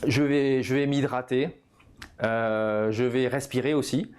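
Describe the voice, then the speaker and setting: A man's voice: mid-range voice, steady tone, measured pace, a little mellow, clear, resonant tone. Man in his fifties, informal coaching lesson. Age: 50s. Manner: didactic, punctuates phrases with "hein" and "voilà". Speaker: personal development coach. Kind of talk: informal video lesson, explanatory.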